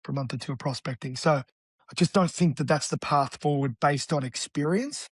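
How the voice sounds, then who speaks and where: A man, a real estate agent talking as an interview guest in an energetic, blunt, casual way. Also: fairly high pitch, normal speed, no echo, studio quality. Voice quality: raspy.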